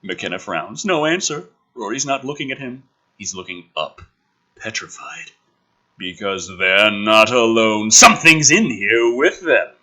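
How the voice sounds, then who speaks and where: A man's voice: on the low side, very animated, unhurried, pushed and bright. A man reading a script dramatically and breaking off into sarcastic, exasperated, sweary commentary as the host.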